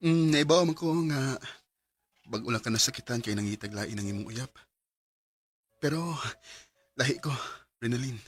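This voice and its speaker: Radio drama role: man playing young Cebuano Filipino suitor, mid-pitched, husky, earnest and patient in love. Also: moderate pace, pauses often, close to an everyday voice. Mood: pleading.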